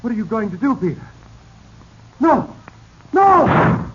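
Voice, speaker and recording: An actor playing an alarmed, indignant businessman with an excitable delivery in a 1940s radio drama. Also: very high voice, slow, heavily acted. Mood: panicked. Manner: agitated, excitable. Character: alarmed, indignant businessman confronted by his cousin. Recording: dull, noisy 1940s radio recording.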